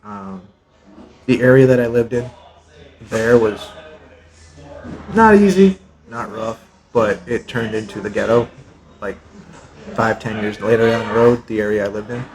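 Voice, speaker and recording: A man's voice: on the low side, measured pace, long pauses, poor audio. A man in his forties from Texas, a soldier talking about his life in a casual podcast chat, blunt and jokey, swearing freely. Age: 40s. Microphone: podcast mic.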